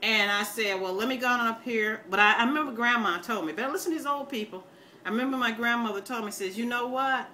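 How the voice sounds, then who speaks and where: A woman's voice: a little high, quick pace, sharp tone, a little hiss or hum. An older American woman chatting casually to her viewers in a folksy, opinionated, rambling way. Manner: folksy, opinionated, plain-spoken, calls listeners baby. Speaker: video blogger.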